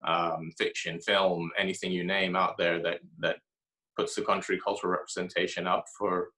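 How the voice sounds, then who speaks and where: Low, bright-voiced man answering thoughtfully. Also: flat delivery. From grew up in Haiti and Ethiopia. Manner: reflective, pauses often with fillers and restarts. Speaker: author being interviewed.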